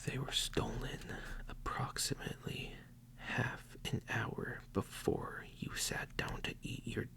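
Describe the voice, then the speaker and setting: Slow, gravelly whispering man. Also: medium pitch, little pitch movement. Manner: whispered, slow, playfully accusing. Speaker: mock police interrogator. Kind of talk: ASMR roleplay.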